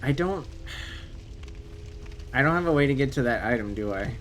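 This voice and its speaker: A man's voice: mid-range voice, expressive, normal speed, a bit bright, faint music behind. A man whose first language is French, streaming a game live and chatting casually with viewers, breaking into swearing and sudden exclamations. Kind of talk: casual live gameplay commentary.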